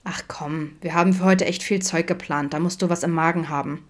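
Woman as a tired father, audiobook character, lowish voice, patient, coaxing, exasperated, admonishing. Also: steady tone, brisk, lightly acted.

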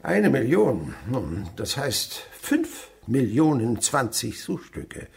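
A man's voice: mid-range voice, huge pitch swings, takes it slowly, creaky, darkish tone, husky, exaggerated voice. An actor playing a miserly, callous old father in a German radio play, blunt, scornful and domineering. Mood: scornful, lecturing. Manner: blunt, scornful, lecturing, abrupt swings in delivery.

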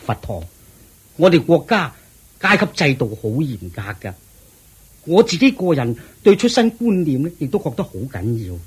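A man's voice: fairly high pitch, sing-song, normal speed, husky, exaggerated voice, rough recording. A man with a Hong Kong Cantonese accent playing a proud, worried wealthy father who pleads and frets in a radio drama. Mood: fretful. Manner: pleading, fretful.

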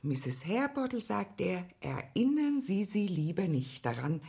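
A woman's voice: medium pitch, big pitch swings, unhurried, vocal fry, warm tone, breathy edge, full character voice. An actress playing a talkative, deferential working-class mother of many in a German radio play, chatty and rambling. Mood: gossipy, confiding. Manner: chatty, rambling, deferential, quoting herself and others.

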